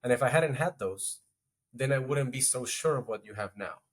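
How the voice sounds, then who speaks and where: A man's voice: medium pitch, normal speed, breathy edge. A man speaking as a podcast guest in a casual interview, reflective, with frequent restarts and filler words. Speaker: podcast guest, strategy executive.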